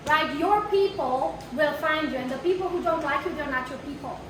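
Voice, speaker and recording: Brash high-voiced accented woman trainer. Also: moderate pace. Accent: foreign. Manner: brash, blunt, asks the room questions. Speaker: real estate marketing trainer. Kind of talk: live workshop talk to an audience. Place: training room with a live audience. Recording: echoey room, mic far off, noisy.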